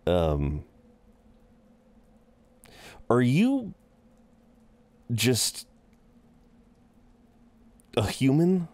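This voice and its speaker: A man playing a courteous, chatty incubus innkeeper in an intimate audio roleplay, with polite, hesitant pauses and a flirtatious streak. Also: lowish voice, darkish tone, clean tone, dry recording. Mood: flustered, hesitant. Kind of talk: intimate scripted roleplay acting.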